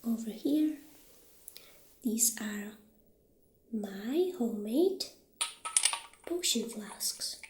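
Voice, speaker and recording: Soft, slow-talking witch shopkeeper, played by a woman. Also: fairly high pitch, animated, roomy sound, a little hiss or hum. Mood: gentle, explaining. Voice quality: soft, breathy, half-whispered. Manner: gentle, unhurried, hesitant pauses. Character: friendly witch shopkeeper showing off potion ingredients. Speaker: ASMR roleplay performer. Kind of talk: soft-spoken ASMR roleplay.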